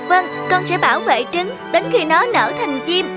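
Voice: extremely high pitch, big pitch swings, moderate pace, music in the background. An actor voicing an eager, kind young pupil in a children's cartoon fairy tale, earnest and exclamatory. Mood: earnest, dutiful. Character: eager, kind young magical pupil guarding bird eggs.

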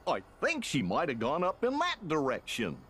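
A man's voice: a little high, wildly swooping pitch, moderate pace, a bit bright, slight background noise. A man voicing a tall, good-natured, goofy cartoon dog in a video game, with an amiable, dopey drawl. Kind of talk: video game cutscene acting.

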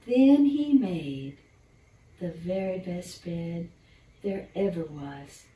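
An older woman reads a children's picture book in a gentle, unhurried, expressive bedtime style, talking to young listeners. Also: lowish voice. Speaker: storyteller reading a picture book. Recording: roomy, distant mic, faint background noise.

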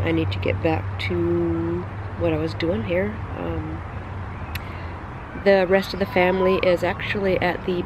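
A woman's voice: deep voice, background noise. A woman in her thirties with a cold, chatting casually to a handheld camera on an outdoor deck, rambling with lots of ums. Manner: rambling, many ums and restarts. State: stuffed up with a cold. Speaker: family vlogger. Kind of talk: casual vlog chat. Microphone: handheld camera at arm's length.

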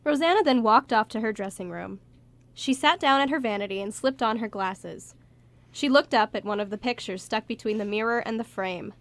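A woman's voice: a little high, normal speed. A woman reading scripted story narration for a radio play.

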